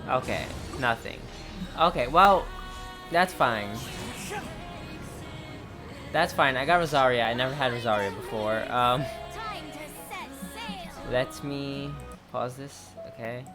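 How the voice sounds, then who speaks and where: A gamer giving casual gameplay commentary in halting, muttered self-talk. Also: mid-range voice. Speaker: gaming video creator. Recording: game music behind.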